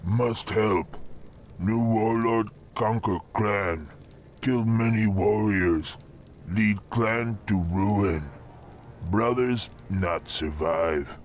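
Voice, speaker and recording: A man voicing a slow-witted, pleading, wounded ogre in a fantasy game, in broken, halting phrases. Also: fairly low pitch. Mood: pained, pleading. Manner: broken, halting simple speech. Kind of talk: fantasy game cinematic acting. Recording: ambient noise behind the voice.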